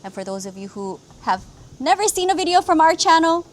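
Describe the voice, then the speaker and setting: A woman's voice: very high-pitched, big pitch swings, fairly quick. A woman from Hawaii hosting a cooking vlog, explaining each step of a simple recipe in an upbeat way. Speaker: cooking channel host.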